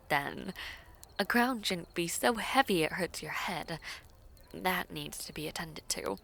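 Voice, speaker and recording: A woman playing a poised, teasing, affectionate villainess princess in a fantasy romance audio roleplay, with formal courtly phrasing and a breathy, often near-whispered delivery. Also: medium pitch, bright, forceful tone, slight background noise, heavily acted. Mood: fond, teasing.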